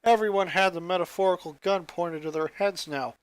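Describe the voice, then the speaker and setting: A man's voice: high voice, fairly even intonation, fairly quick, no echo. A man reading a piece of fan fiction aloud as narrator, in scripted story style.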